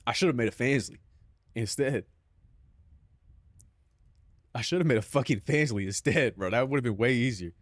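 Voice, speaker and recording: A man's voice: medium pitch, moderate pace, pauses often, no room sound. Southern Californian guy with a dry sound, streaming. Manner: loose, profane banter peppered with "bro". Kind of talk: casual stream chat.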